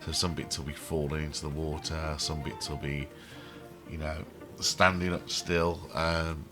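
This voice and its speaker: A man's voice: extremely low pitch, flat delivery, a little slow, music in the background. A man with a cold and a throaty voice, rambling with many ums as he comments casually over his game building. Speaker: channel host building a theme park in a game.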